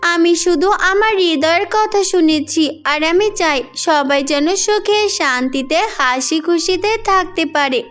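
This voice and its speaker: A woman's voice: very high-pitched, slow, a bit bright, soft background music, heavily acted. A woman voicing a brave, good-hearted young girl in a children's fairy-tale cartoon, speaking earnestly. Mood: sweet, grateful.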